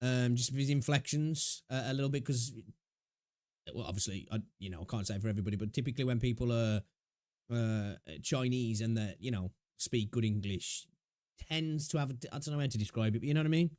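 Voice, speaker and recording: A man's voice: mid-range voice, fairly quick, darkish tone, no echo, clean studio sound. A man chatting off the cuff as a live stream reactor, informal and musing aloud. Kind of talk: casual off-the-cuff reaction chat.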